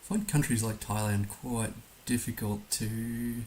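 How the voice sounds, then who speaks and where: A hoarse man musing hesitantly aloud as he gives casual live commentary on a game he is streaming. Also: on the low side, unhurried, a little mellow, low-quality recording. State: hoarse, recovering from being under the weather. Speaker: livestream host playing a geography guessing game. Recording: noticeable background hiss.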